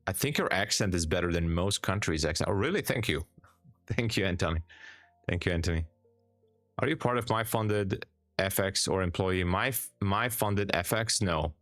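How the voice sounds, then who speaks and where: A man with a Hungarian accent chatting calmly on a live stream, reading viewer comments aloud and answering them in an easygoing way, often repeating words for emphasis. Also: low voice, normal speed, no room sound, faint music behind.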